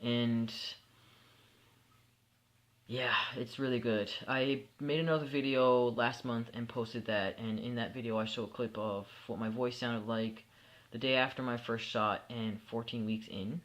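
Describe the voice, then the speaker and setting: A young man chatting candidly in a casual personal vlog, relaxed and sleepy, with many fillers and restarts. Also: mid-range voice, measured pace. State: sleepy at bedtime. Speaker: vlogger.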